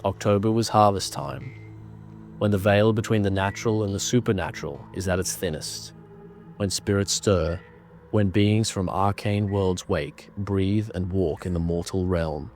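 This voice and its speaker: A man narrating a scripted horror story in a measured, dramatic manner. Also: deep voice, a little mellow, soft background music.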